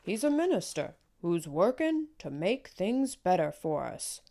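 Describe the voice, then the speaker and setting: A woman's voice: lowish voice, very animated, unhurried, warm tone. Woman voicing a hopeful storybook daddy. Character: warm, proud father, hopeful about change.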